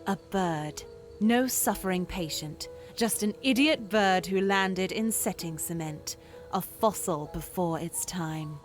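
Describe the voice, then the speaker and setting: A woman voicing an eerie, cryptic, detached young woman in a horror video game, speaking in a deadpan, deliberate way. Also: lowish voice, soft background music. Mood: ominous, detached.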